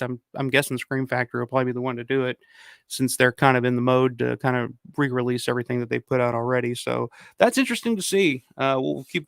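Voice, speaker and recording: A man hosting a casual livestream, hoarse from a cold, rambling and swearing as he reads viewer comments. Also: medium pitch, no room sound. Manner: rambling, profane, frequent restarts. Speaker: livestream host.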